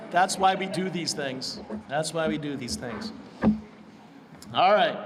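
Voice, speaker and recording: Man, ceremony emcee, upbeat and loose, fairly high voice, reverberant hall, audible room noise. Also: a bit bright. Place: large hall at a school ceremony.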